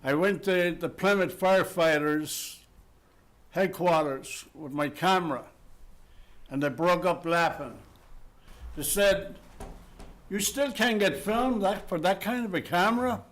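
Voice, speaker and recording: A man's voice: high-pitched, measured pace, pauses often, a bit bright. An older man from Ireland with an Irish accent, a guest telling wry stories and reading his poem at a town meeting. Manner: wry, chuckling storyteller. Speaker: guest poet.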